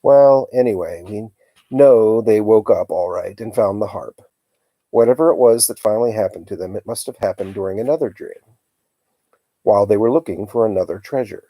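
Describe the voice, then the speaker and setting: A man's voice: on the low side, normal speed, dark tone, no echo, lightly acted. A man reading the part of a thoughtful, brainy young girl in a storybook, reasoning things out aloud. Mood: wondering.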